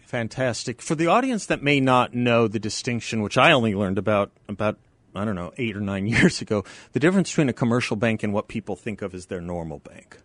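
A man's voice: fairly low pitch, animated, brisk, dry recording. Male radio host, friendly interviewer, dry close mic.

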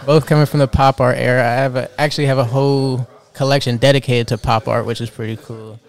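Flat-toned young man, relaxed and earnest. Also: medium pitch, fast talker. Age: 20s. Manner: relaxed, filler-heavy, earnest. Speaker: fashion designer interviewed. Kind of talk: casual interview answers.

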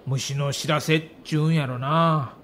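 A man's voice: on the high side, fairly even intonation, moderate pace, a bit bright, a little hiss or hum, close to an everyday voice. Man acting a musing Kansai veteran ballplayer. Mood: uneasy, musing. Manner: colloquial, musing. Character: easygoing veteran male ballplayer, plain-spoken, uneasy. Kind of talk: acted dialogue in audio documentary.

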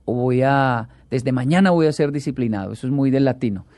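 A man, a talk show guest who tells animated stories and acts out the quotes. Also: mid-range voice, fairly quick, no echo. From Colombia. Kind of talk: casual chat.

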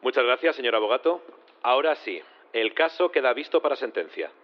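An actor playing a formal, measured male judge presiding over a courtroom in an audio drama. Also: medium pitch, animated, lo-fi sound, natural voice. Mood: formal, official.

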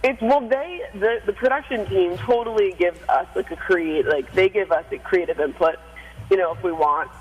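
A woman in her twenties from Staten Island with a deep, raspy voice, an excited singer chatting with radio hosts and laughing often. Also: normal speed, a little hiss or hum, poor audio. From Staten Island, New York. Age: 20s. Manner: giggly, excited, full of fillers. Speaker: singer and interview guest. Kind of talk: casual radio interview.

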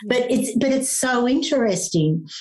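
A woman's voice: a little high, expressive, measured pace, a little mellow. A middle-aged woman, a grief coach talking through her process in an interview in an earnest, teacherly way, with frequent fillers and restarts. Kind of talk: conversational interview.

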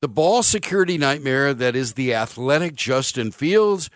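A man's voice: medium pitch, expressive, normal speed, no echo. Dry, sarcastic middle-aged sports radio man. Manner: wry, sarcastic jokes, rambling anecdotes. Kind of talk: sports talk radio monologue. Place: radio studio. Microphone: studio microphone.

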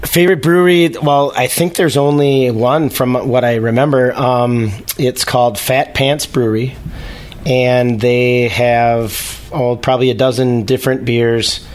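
A man's voice: mid-range voice, steady tone, a little slow. A man from Minnesota, a guest on a casual podcast, easygoing with a dry sense of humor. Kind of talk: casual chat.

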